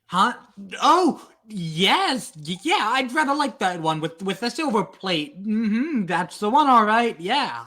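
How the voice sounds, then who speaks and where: A man's voice: high-pitched, very animated, slow pace, few pauses, bright, forceful tone, heavily acted. A man playing an irritable radio actor in an old-time radio drama, brusque and exasperated in manner. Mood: placating.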